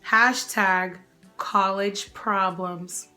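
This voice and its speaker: A young woman answering viewer questions in a casual vlog, sassy and animated. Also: medium pitch, drawn-out words, soft background music. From United States. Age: young adult. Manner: sassy, animated, often calls the viewer "girl".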